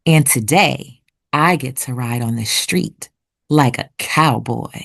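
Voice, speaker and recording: A woman narrating for children like a teacher, with deliberate, expressive enunciation. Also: extremely low pitch, gravelly. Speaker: read-aloud host and narrator. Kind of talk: children's picture book read-aloud with vocabulary teaching.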